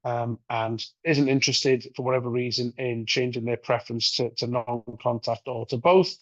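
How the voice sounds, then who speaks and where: A man with a croaky voice from a recent cold presents a webinar as a data manager, explaining things steadily with lots of ums and uhs. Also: mid-range voice, steady tone, moderate pace, no room sound. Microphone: video call microphone. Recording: dull, dry online-call audio.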